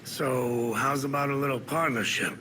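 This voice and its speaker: An actor playing a suave, ingratiating, wry bar owner with a shady streak in a video game. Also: male voice, mid-range voice, moderate pace, pushed and bright, close to an everyday voice, poor audio. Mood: sly, ingratiating. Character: smooth, sly male bar owner, ex-criminal. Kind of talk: conversational video game acting. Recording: clear background noise.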